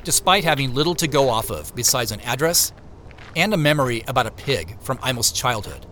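A man with an American accent playing a reflective, wry solitary traveler who narrates an audio drama in the first person, with measured storytelling and muttered casual asides. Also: mid-range voice, animated, pushed and bright, a little hiss or hum. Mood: reflective, observant. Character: male solitary traveler, reflective, wryly talking to himself. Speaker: narrator and lead character. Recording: music behind the voice.

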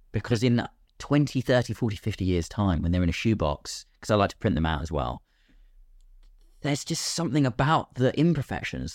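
A British man talking casually as a podcast guest, with an expressive way of telling things. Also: on the low side, no room sound, studio quality. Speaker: podcast guest, filmmaker and voice actor. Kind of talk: casual conversational interview.

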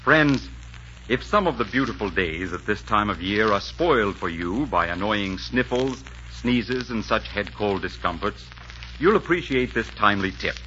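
A man's voice: fairly low pitch, lively intonation, moderate pace, pushed and bright, slight background noise. A man reading scripted copy as a 1940s radio announcer, polished and emphatic. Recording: muffled 1940s radio, faint music behind.

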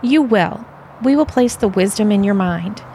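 A woman's voice: mid-range voice, lively intonation, normal speed, warm tone, a little hiss or hum, close to an everyday voice. A woman playing an earnest, serene alien envoy in an audio play, with stilted, formal phrasing.

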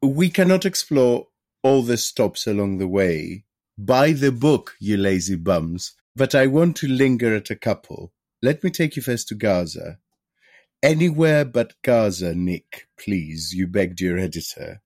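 A man's voice: fairly low pitch, animated, unhurried, darkish tone, no echo. A man hosting an interview, asking questions with a dry, wry humor. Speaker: podcast host.

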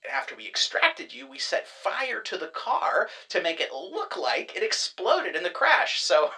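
A man voicing a boastful, giddy schemer in an audio drama, with a bright, sharp voice that leaps all over the place, swinging from loud crowing to sly whispers. He wheedles, gloats and teases. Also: high voice, big pitch swings, a little slow, gravelly, full character voice. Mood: gloating. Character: boastful, giddy man running a rival disappearance agency.

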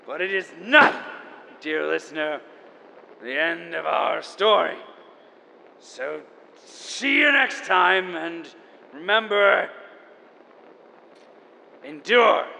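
An actor playing a melancholy, theatrical lone narrator at the world's end, florid and full of dramatic pauses, in a noisy, reverberant audio drama. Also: male voice, sits high, gravelly, bright, forceful tone, exaggerated voice. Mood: solemn, fading. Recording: noisy, long echoing reverb.

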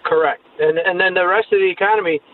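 A man's voice: high voice, steady tone. Older man calling in on a tinny phone line. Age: 70s. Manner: earnest, slightly halting. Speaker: caller. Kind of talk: call-in opinion.

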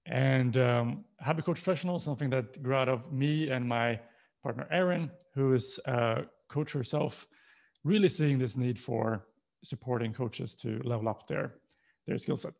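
A man's voice: medium pitch, normal speed, creaky, darkish tone. A man with a Swedish accent presenting a talk in an explanatory manner, posing questions as he goes. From Sweden. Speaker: presenter, coaching co-founder.

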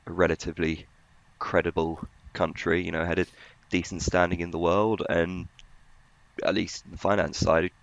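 A man giving conversational market analysis as a financial journalist, in a hesitant, halting way. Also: sits low, little pitch movement, dry recording, muffled recording.

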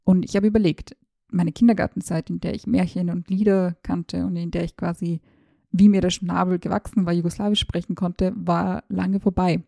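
Gravelly, flat-voiced woman. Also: on the low side, moderate pace, dry recording, studio quality. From former Yugoslavia, raised in Austria. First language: Serbo-Croatian. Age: 30s. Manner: chatty and self-ironic, hesitant fillers. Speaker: podcast host, journalist. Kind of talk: casual personal monologue, like a voice message.

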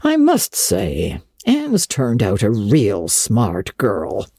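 A woman's voice: very deep voice, wildly swooping pitch, very slow, warm tone, slightly rough, no echo, clean studio sound, voice pushed down, full character voice. A woman voicing a blunt, opinionated older country woman with folksy, emphatic speech in a storybook reading. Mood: approving.

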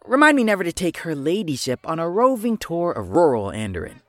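A snappy sarcastic young detective in a fantasy audio drama, impatient, voice goes up and down a lot. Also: female voice, on the low side, very animated, a bit bright, no echo. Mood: sardonic. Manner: snappy, sardonic, brisk.

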